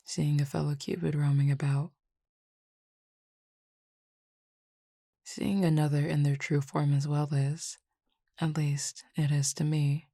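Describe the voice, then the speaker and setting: A woman playing a calm, teasing Cupid roommate with formal, measured speech in an intimate roleplay audio drama. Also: low voice, vocal fry, no echo, clean studio sound. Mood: quietly pleased.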